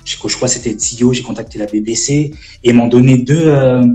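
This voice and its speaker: Man in his twenties, mellow, halting. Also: medium pitch, reverberant, faint music behind, poor audio. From French Guiana. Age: 20s. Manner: halting, many fillers and restarts. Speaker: gospel rap artist guest. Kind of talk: casual live-stream interview.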